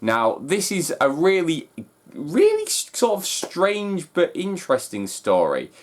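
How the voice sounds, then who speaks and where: A man's voice: fairly high pitch, wildly swooping pitch, unhurried, slight background noise. A man hosting a fan reaction video, talking casually with enthusiastic, emphatic delivery. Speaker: host of a fan reaction channel. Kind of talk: excited trailer reaction and casual breakdown.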